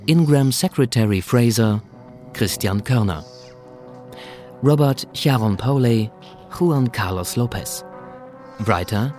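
A man's voice: mid-range voice, a little mellow. A man reading scripted credits as the announcer of a radio play.

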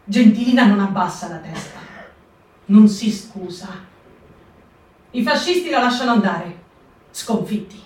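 A woman's voice: medium pitch, expressive, unhurried, pauses often. A woman giving a firm, emphatic dramatic reading for a radio broadcast. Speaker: performer in a staged reading. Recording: echoey radio broadcast from far off.

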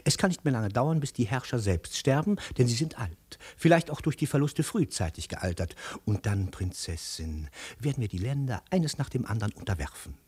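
An actor playing an ambitious, calculating imperial chancellor who fawns and insinuates in measured speech, in 1950s radio drama style. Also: male voice, medium pitch, expressive, a little mellow, breathy edge. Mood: smug, conspiratorial. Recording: 1950s radio studio recording.

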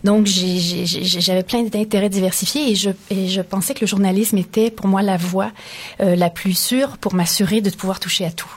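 A woman's voice: mid-range voice, steady tone, moderate pace, slightly rough. A middle-aged woman with a Canadian French accent, a radio director answering interview questions thoughtfully, with many hesitations and restarts. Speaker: radio station director, guest.

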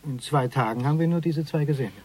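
A man's voice: fairly high pitch, fast, a little mellow, close to an everyday voice. A man playing an eccentric ex-convict surveillance agent in a radio crime drama, speaking in stilted, flowery phrases with pompous courtesy. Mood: pompous, matter-of-fact. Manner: stilted, flowery speech with misused learned words.

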